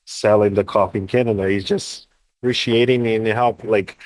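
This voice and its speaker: A man who buys coffee from farmers, answering interview questions in a plain, steady way. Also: lowish voice, normal speed.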